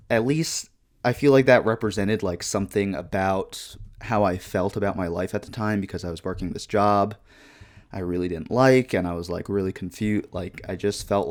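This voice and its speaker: A man in his twenties talking casually and reflectively over gameplay, in a deadpan monotone with lots of "like" and "um". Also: sits low. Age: 20s. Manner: deadpan monotone with many fillers. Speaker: YouTuber.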